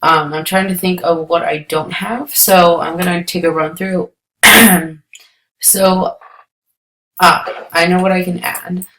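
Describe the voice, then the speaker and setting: A woman giving chatty, rambling commentary while playing a building game, her voice going hoarse. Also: on the low side, steady tone, slow pace, a bit bright, slight background noise, poor audio. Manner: chatty, rambling, many ums. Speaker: let's play creator. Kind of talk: casual gameplay commentary.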